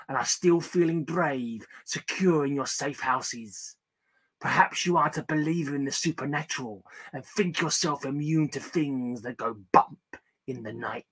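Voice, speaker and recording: A man's voice: mid-range voice, fairly bright tone, husky. A man hosting a horror story show, speaking in a teasing, theatrical way. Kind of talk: spooky theatrical host narration.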